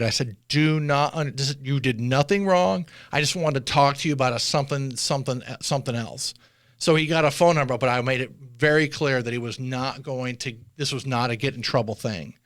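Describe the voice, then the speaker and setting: A man with a medium-pitched voice talks casually, like a seasoned insider explaining the job. He slips into quoted exchanges as he goes. The sound is dry, with very little room in it. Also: normal speed, dry recording. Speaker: former air traffic controller, interview guest.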